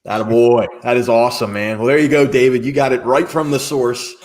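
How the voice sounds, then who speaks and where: A man's voice: medium pitch, fairly even intonation, brisk, darkish tone. Easygoing male talk-show host. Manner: friendly, easygoing reactions. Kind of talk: casual interview chat.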